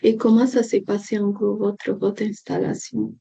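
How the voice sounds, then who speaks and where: Flat-voiced woman interviewer, muffled. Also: medium pitch, normal speed, gravelly, breathy edge. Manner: polite, with fillers. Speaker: interviewer for an immigration agency. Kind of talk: casual interview. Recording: muffled lo-fi recording.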